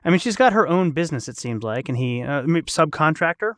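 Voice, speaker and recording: A man's voice: fairly high pitch, animated, fast talker, fairly bright tone, no echo. Chatty male host, close dry sound. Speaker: podcast host. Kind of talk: casual chat.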